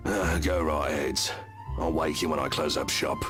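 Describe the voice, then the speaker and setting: A man's voice: rumbling low, fairly even intonation, moderate pace, over music. A man voicing a casual, teasing bartender in a visual novel game. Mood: easygoing. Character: brash friendly male bartender, casual and teasing.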